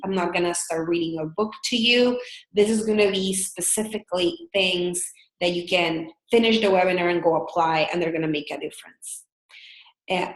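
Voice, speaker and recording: A woman's voice: medium pitch, echoey room, poor audio. A woman in her thirties from El Salvador with a Salvadoran Spanish accent, presenting a business webinar in an earnest, candid way with frequent fillers. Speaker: business consultant.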